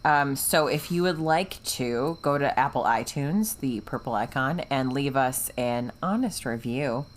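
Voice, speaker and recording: A woman with a distinctly low voice speaks as a podcast co-host. She keeps things conversational and informal, and she comes across as encouraging. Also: measured pace, few pauses. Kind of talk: casual chat.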